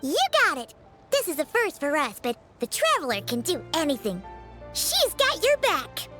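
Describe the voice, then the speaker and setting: A woman voicing a tiny, excitable female floating companion who chirps eagerly and talks about herself in the third person, in a video game cutscene. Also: sits high, moderate pace, full character voice. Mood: excited. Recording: game cutscene with clear background music.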